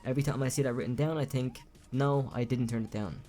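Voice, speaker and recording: A man's voice: mid-range voice, fairly even intonation, fast talker, mellow, soft background music, natural voice. A man reading aloud an actress's quoted interview answers in his own plain voice.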